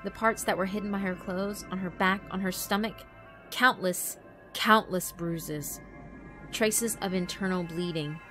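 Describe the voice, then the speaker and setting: A woman's voice: mid-range voice, steady tone, a little slow, fairly bright tone, faint music behind. A woman narrator reading a horror visual novel as dramatic scripted storytelling.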